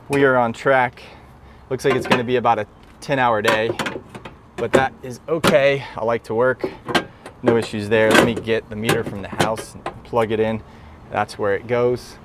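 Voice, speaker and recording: A man's voice: medium pitch, slight background noise. A talkative man with a nasal voice, an electrician explaining his work on the job in a casual, upbeat way. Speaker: electrician and instructor.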